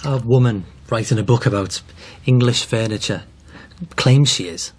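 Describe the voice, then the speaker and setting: An actor playing a suspicious, probing son in a naturalistic radio drama, questioning and sceptical in manner. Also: male voice, medium pitch, normal speed, a little mellow, a little hiss or hum, lightly acted.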